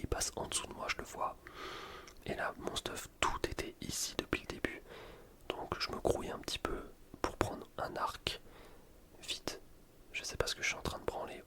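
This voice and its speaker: A man whispering casual, slangy ASMR commentary over a video game, fully breathy and unvoiced. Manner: casual, slangy, self-deprecating. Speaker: gaming ASMR video host.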